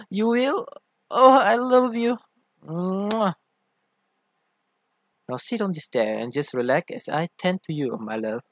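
A man's voice: high-pitched, slow, pauses often, fairly bright tone, dry recording, exaggerated voice. A man playing an affectionate, theatrical young boyfriend who teases and coaxes in an ASMR roleplay. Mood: tender, teasing. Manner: theatrical, teasing, coaxing. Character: playful, affectionate, teasing young femboy boyfriend.

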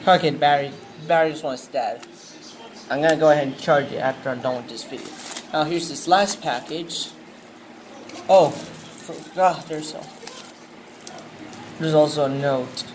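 A man casually narrating a package unboxing, thinking aloud and getting excited, filmed on a handheld phone indoors. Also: fairly high pitch, moderate pace, long pauses. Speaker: unboxing video host. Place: indoors, tiled floor room. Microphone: handheld phone camera. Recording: noisy room ambience.

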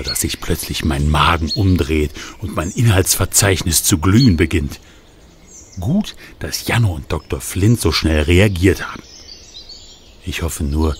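A man narrating as a talking storybook in a children's radio play, telling it with lively, flustered storytelling. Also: very deep voice, slight background noise. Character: talking storybook, male, chatty, fretful narrator.

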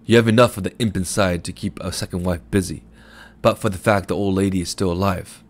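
A man's voice: sits low, steady tone, fairly quick, close to an everyday voice. A man voicing a scornful older village shopkeeper who scolds and sneers at a customer. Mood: gruff teasing.

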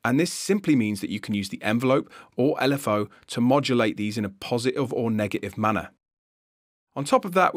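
A man's voice: medium pitch, dry recording. A man giving an explanatory, conversational screencast tutorial narration as an instructor, recorded on a close voice-over mic.

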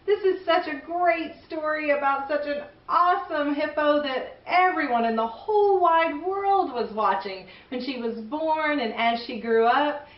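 A middle-aged woman hosts a children's read-aloud with enthusiasm. Her fairly high voice sounds roomy and a little far from the mic. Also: unhurried, few pauses. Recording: roomy, distant, slightly dull sound.

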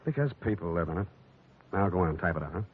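An actor playing a tough, wry American private detective in clipped, sardonic 1940s radio style. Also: male voice, low voice, expressive, fast. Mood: world-weary. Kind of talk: 1940s radio acting, hard-boiled narration. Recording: dull noisy old radio recording.

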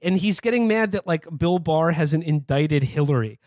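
Sardonic american podcast guy, kinda high voice, sweary political chat, no room sound. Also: expressive, normal speed, a little mellow, no room sound, clean studio sound. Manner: sardonic, profane. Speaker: podcast co-host. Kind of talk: casual political comedy chat.

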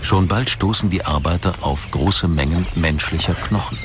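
Deep-voiced male documentary narrator. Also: moderate pace, noisy background. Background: music.

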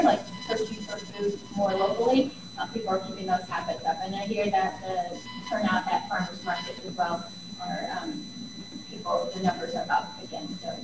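A woman on a community panel, answering a question thoughtfully with fillers and pauses. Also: a little high. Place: large community hall. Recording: echoey hall, distant with hum.

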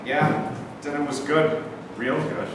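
A man's voice: on the high side, little pitch movement, takes it slowly. A man in his twenties playing an earnest, hot-headed young soldier and baseball fan in a staged play reading. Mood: shy, tender. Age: 20s. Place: large bare indoor room. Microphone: far camera. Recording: echoey hall, distant camera microphone, faint noise.

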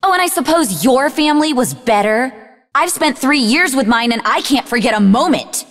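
Sardonic young half-demon, lively pitch. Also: female voice, normal speed, big-room sound. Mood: angry. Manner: dry, sardonic. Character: sarcastic, bookish young half-demon woman, sharp-tongued and irritable. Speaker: video game voice actor. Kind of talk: scripted video game character dialogue.

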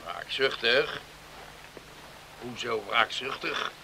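A man's voice: mid-range voice, slow pace, gravelly, pushed and bright, slight background noise, heavily acted, low-quality recording. An actor playing a stern, proud, middle-aged village father in a radio play, curt and unyielding. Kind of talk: Dutch radio play acting.

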